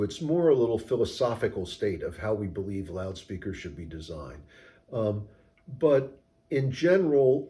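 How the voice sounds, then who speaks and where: A man giving measured, technical, explanatory answers in an interview as a company co-founder. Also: fairly low pitch, mellow. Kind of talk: interview answers about product design.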